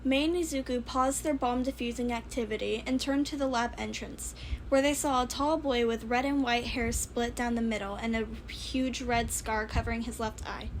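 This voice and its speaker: A woman's voice: on the high side, fairly even intonation, moderate pace, a little hiss or hum, low-quality recording. A woman reading scripted story narration as a fan fiction narrator.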